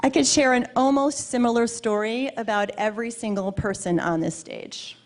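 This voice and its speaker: A woman's voice: a little high, moderate pace, slight background noise. A woman hosting an event live on stage, speaking off the cuff into a microphone. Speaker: awards event host on stage.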